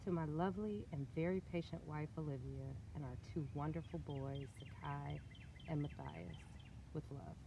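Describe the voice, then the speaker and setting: A woman's voice: low-pitched, normal speed, muffled recording, noisy background. A woman reading a storybook aloud expressively, with casual asides and questions to her co-reader.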